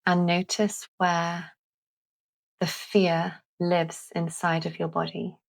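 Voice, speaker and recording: Slow, low-pitched woman guiding meditation. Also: little pitch movement, vocal fry. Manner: gentle, deliberate, with long pauses. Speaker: guided meditation host. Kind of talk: guided visualisation, calming meditation narration.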